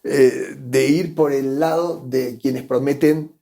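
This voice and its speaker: A man's voice: medium pitch, steady tone, slow pace, mellow. A man from Argentina with an Argentine accent, speaking as a guest economist in a conversational interview, thoughtful and often repeating words while he searches for the phrase. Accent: Argentine Spanish.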